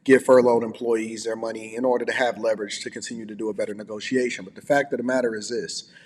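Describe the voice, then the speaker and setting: A man's voice: fairly low pitch, little pitch movement, fast talker, darkish tone, a little hiss or hum. A man giving casual, off-the-cuff opinion commentary as an online commentator. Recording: roomy with long reverb.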